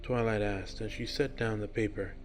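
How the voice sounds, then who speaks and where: A man's voice: fairly low pitch, steady tone, moderate pace. A man narrating a scripted fiction story as its reader. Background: music.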